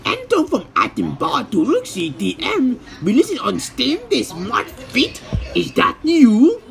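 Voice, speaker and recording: A man voicing an irate, jumpy pizza chef in an amateur cartoon comic dub, excitable and indignant. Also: very high-pitched, measured pace, gravelly, faint music behind, heavily acted. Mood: startled.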